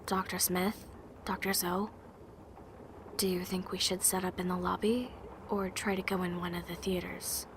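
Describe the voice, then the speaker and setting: A woman's voice: lowish voice, flat delivery, moderate pace, close to an everyday voice. A woman playing a cautious, slightly awkward young survivor in a fan-made audio drama. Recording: clear background noise.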